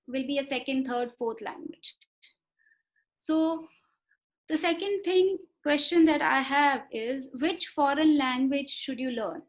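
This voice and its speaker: A woman giving a webinar lecture over a video call. She talks in a measured way, often repeating words for emphasis and pausing on fillers. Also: sits high, dull audio. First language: not Marathi. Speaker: webinar speaker on foreign languages. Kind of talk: explanatory lecture.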